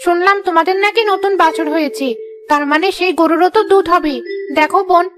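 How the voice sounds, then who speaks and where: Lady doing super high squeaky village woman for a cartoon, earnest, talks fast. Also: fairly even intonation, over music. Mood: pleading. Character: pleading village sweet-shop woman and a dutiful young daughter. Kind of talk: cartoon fairy tale dialogue.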